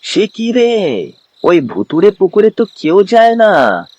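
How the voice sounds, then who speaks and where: A young village man voiced by a male actor, blunt and excitable, in a cartoon tale. His voice is fairly high and swings widely. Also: slow pace, no echo, highs cut off, full character voice. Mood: alarmed. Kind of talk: animated storybook drama.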